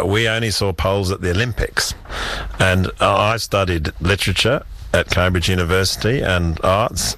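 A man's voice: sits low, fairly bright tone. A middle-aged man with an English accent, telling anecdotes in an articulate, enthusiastic way as an interview guest. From England. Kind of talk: conversational storytelling.

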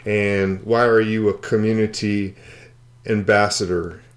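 A man's voice: on the low side, flat delivery, unhurried. A man hosting an interview show in a conversational style.

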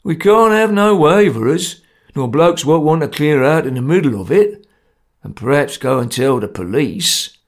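Gruff ringleader, severe and grim, gravelly voice, as a reader puts him on. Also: male voice, fairly high pitch, lively intonation, moderate pace. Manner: gruff, severe, matter-of-fact. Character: grim stern male ringleader, working-class.

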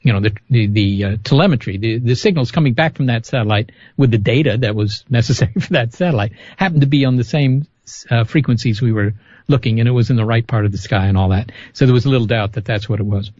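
A man's voice: medium pitch, quick pace, a little mellow, dry recording, lo-fi sound. Chatty man laughing through restarts. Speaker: guest scientist. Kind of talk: radio interview.

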